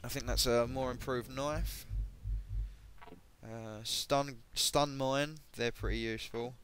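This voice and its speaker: A man giving casual, hesitant commentary on game equipment, his voice a bit croaky, with many ums and self-corrections. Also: mid-range voice, steady tone, slow, a bit bright. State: voice going croaky. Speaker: gaming video creator.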